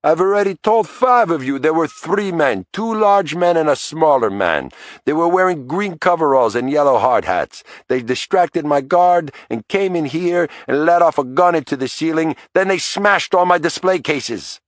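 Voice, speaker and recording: A man doing a shrill Italian store owner. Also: lively intonation, moderate pace, no echo, studio quality, natural voice. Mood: outraged. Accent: thick Italian accent. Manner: shrill, frantic, exasperated.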